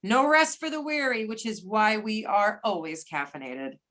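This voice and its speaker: A woman reading a brisk, wry government agent in amateur readers theater, with everyday delivery. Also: medium pitch, lively intonation, fairly bright tone, close to an everyday voice. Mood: teasing, brisk. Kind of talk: amateur readers theater over a remote call.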